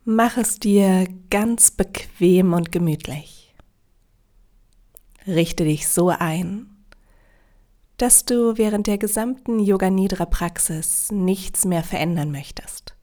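A woman leading a guided yoga nidra relaxation in a calm, soothing, measured way with long pauses. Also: medium pitch.

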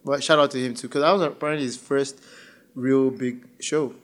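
A man's voice: medium pitch, steady tone, a little fast. A man chatting informally and slangily as a podcast co-host. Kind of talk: casual conversational chat.

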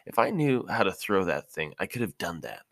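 A man's voice: deep voice, big pitch swings, brisk, gravelly, fairly bright tone, no echo, studio quality, exaggerated voice. A man voicing a brash, eager teenage ninja boy with a slangy, impatient manner, in a fan fiction audiobook. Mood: unimpressed.

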